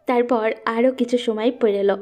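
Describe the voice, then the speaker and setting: A woman's voice: fairly high pitch, fairly even intonation, normal speed, darkish tone, faint music behind. A woman narrating a scripted romantic story as the storyteller.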